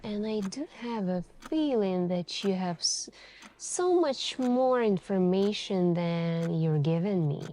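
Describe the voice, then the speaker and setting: A woman doing an ASMR roleplay as a suspicious female detective, questioning in a deliberate, pointed way with many pauses. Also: medium pitch, animated, mellow, a little hiss or hum. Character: calm, suspicious, probing female police detective. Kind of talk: ASMR interrogation roleplay, slow deliberate delivery.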